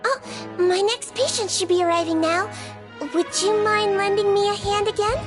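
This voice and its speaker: A woman playing a small, gentle and earnest girlish nurse in a fantasy video game. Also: very high-pitched, steady tone, normal speed. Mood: gentle, matter-of-fact. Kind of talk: scripted video game character dialogue. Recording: game music behind the voice.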